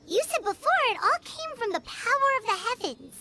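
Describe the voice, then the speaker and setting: A woman voicing a tiny, excitable childlike fairy companion in a video game, naive and exclamatory. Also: very high-pitched, brisk, slight background noise, exaggerated voice. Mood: excited. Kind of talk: scripted video game voice acting.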